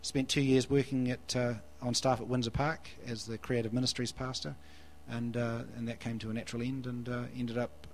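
Halting New Zealand man with hum. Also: medium pitch, level tone, poor audio. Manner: hesitant, restarts phrases, self-deprecating. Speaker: guest preacher. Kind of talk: church interview and sermon. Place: church.

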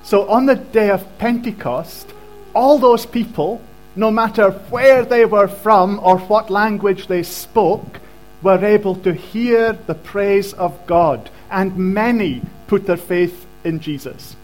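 A man with a notably high voice preaches in short, measured phrases. He pauses after each line so it can be translated, and faint music plays behind him. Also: a little mellow, poor audio. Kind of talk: sermon with interpreter.

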